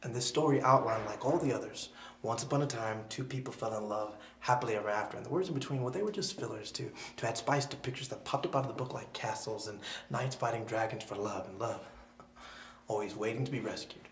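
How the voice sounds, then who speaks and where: A man with a medium-pitched voice performs spoken word poetry. His delivery is dramatic and deliberate, shaped by pauses and emphasis. The room adds clear echo, with faint noise behind him.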